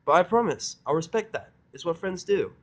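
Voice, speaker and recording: A man's voice: high-pitched, sing-song, a little fast, voice pushed up, full character voice. A man voicing a brash, loyal female pegasus pony, enthusiastic and earnest, in a fan fiction reading.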